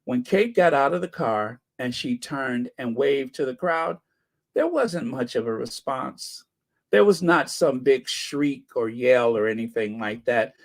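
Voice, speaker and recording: Hoarse older man, slow wry commentary. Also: medium pitch, lively intonation. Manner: wry, sarcastic asides. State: hoarse, scratchy voice. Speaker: channel host. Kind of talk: casual commentary, reading viewer comments.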